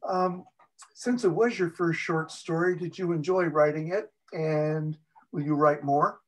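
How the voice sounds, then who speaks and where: A man's voice: a little high, steady tone, a little slow, low-quality recording. A man hosting a casual interview, chatty and easygoing, asking questions and taking comments from the audience. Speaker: host.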